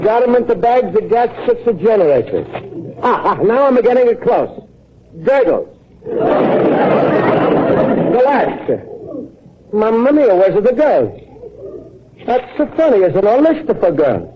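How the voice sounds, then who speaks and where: An excitable high-voiced Italian immigrant. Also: male voice, measured pace, long pauses, over a noisy crowd, heavy background noise. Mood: puzzled, hopeful. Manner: earnest, broken English, expressive. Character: naive, earnest, lovestruck Italian immigrant man. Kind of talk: old-time radio comedy acting. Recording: muffled old radio broadcast recording.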